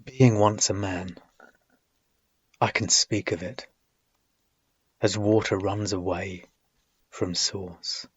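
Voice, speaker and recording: A man giving a solemn poetry reading, deliberate and measured, with dramatic phrasing, long pauses and husky, breathy phrase endings. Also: low-pitched, fairly even intonation, no echo, highs cut off. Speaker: poet reading own work.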